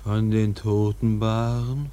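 Eerie prophet, solemn oracular delivery, mellow dark tone, dragging pace, radio drama. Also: male voice, lowish voice, little pitch movement, slow. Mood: solemn, ominous. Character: eerie, solemn male seer and harpooner prophesying. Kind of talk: German radio play acting.

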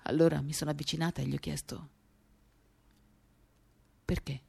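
A woman performing an expressive, theatrical first-person literary reading for radio, moving between animated and hushed delivery. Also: deep voice, very fast, gravelly, slightly rough. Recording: acoustic guitar accompaniment. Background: guitar music.

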